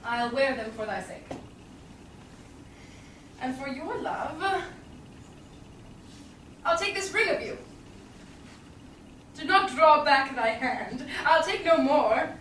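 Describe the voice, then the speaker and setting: A woman's voice: sits high, animated, slow pace, long pauses, fairly bright tone, heavily acted. A woman playing a composed, clever lawyer in disguise in a Shakespearean stage reading. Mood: gracious. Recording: distant echoey small theatre, background noise.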